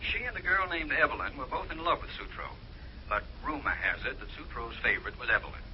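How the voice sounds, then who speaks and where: Man playing a lively priest informant. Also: mid-range voice, quick pace, lightly acted. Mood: friendly, confiding. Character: friendly male priest acting as detective's informant. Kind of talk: old-time radio drama acting. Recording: dull, noisy radio recording, telephone voice.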